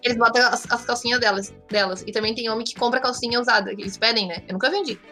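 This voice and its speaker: A woman's voice: fairly high pitch, very fast, bright, forceful tone, soft background music. A woman with a southern Brazilian accent, chatting casually as a guest and telling candid, slangy anecdotes. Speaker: interview guest.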